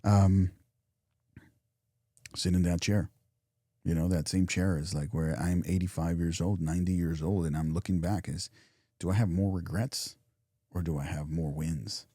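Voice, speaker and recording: A man co-hosting a podcast, talking casually and reflectively, often restarting phrases and dropping softer for imagined inner thoughts. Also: sits low, steady tone, moderate pace, pauses often, dry recording, clean studio sound. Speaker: podcast co-host. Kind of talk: casual motivational chat.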